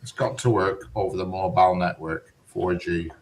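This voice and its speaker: A man's voice: deep voice, steady tone, normal speed. A middle-aged man, rambling and cheeky in casual chat. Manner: rambling, chatty, dialect-heavy, cheeky. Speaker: conversation partner.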